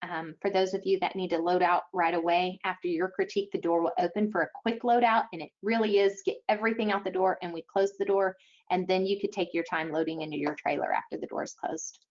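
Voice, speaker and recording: A woman giving an explanatory webinar briefing as an event organizer, speaking haltingly with frequent pauses. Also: fairly low pitch, steady tone. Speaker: event organizer presenting to schools. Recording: dull, lo-fi online webinar audio.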